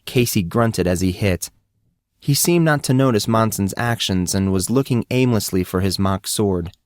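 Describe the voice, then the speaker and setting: A man narrates fiction in a fairly low voice. The sound is dry, with little room around it, and the delivery is that of a scripted audiobook reading. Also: normal speed, no room sound.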